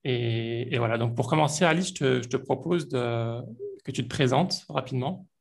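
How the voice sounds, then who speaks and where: Man hosting a podcast, mid-pitched, gravelly, easygoing questions. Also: moderate pace. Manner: scripted intro, then relaxed questions with fillers. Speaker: podcast host. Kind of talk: podcast intro and interviewing.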